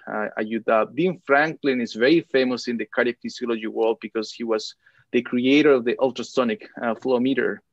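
A man in his thirties from Chile, giving an academic lecture with frequent hesitant filler pauses. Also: medium pitch, no room sound, lo-fi sound. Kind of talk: academic presentation over video call. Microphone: video call.

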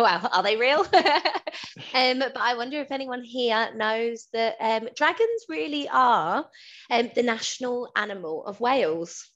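A woman's voice: a little high, fairly bright tone, dull audio. A woman recruiter introducing herself on a webinar, cheerful and giggly with filler ums. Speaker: early childhood recruiter. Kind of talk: casual self-introduction.

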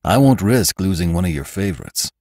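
Man voicing a grim, low-voiced cowboy hero. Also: big pitch swings, a little fast, a little mellow, no room sound, clean studio sound, heavily acted. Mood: resolute. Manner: terse, decided. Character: grim, determined, bitter young cowboy hero. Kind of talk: audiobook character acting.

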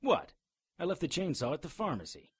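A sardonic, hard-boiled man leads a radio drama played by a male actor. His delivery is very animated in pitch, though his temper stays grim and wry. He sounds like someone who sizes up every scene. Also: fairly quick, heavy background noise.

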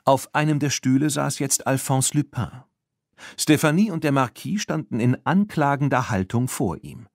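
A man reading a children's audiobook as narrator, in an expressive storytelling style. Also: mid-range voice, moderate pace, vocal fry, no echo, clean studio sound.

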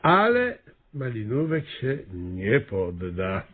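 A man playing a pompous, scheming small-town mayor in a radio comedy, speechifying with hesitant pauses. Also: mid-range voice, huge pitch swings, phone-quality sound, exaggerated voice. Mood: solemn, grave. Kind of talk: radio comedy drama acting.

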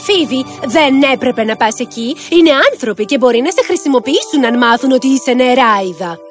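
A woman's voice: high voice, lively intonation, faint music behind. A woman voicing a cautious, protective elder fairy sister in a cartoon tale, serious and warning.

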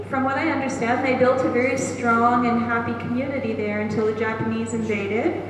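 A middle-aged woman with a Canadian accent speaks as a panel moderator, with a measured, friendly manner. Her voice sits a little high. She is caught from afar in a hall with a long, ringing echo. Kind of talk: festival panel talk. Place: large echoing festival hall. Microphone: handheld mic, far camera. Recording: echoey hall, distant, background noise.

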